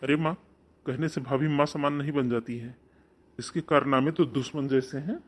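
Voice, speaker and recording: A man voicing an earnest, loyal young groom who speaks softly and reassuringly in a husky voice. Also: mid-range voice, steady tone, moderate pace, natural voice. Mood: defiant. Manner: soft, reassuring.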